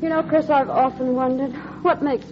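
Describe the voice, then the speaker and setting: A woman's voice: high voice, steady tone, moderate pace, background noise, lightly acted. An actress playing a troubled, well-bred married woman in 1940s radio melodrama style. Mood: wry, light. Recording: dull old radio recording, loud music.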